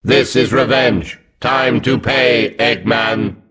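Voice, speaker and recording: A man voicing a hulking combat robot in a video game, gruff and mechanical, giving clipped, vengeful commands. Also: medium pitch, takes it slowly, a bit bright. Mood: menacing, vengeful.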